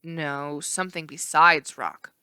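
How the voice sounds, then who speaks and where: A woman narrates a children's novel in an expressive read-aloud style. Her voice is bright and smooth, at an average pitch. The sound is dry and close. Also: slow pace, no room sound.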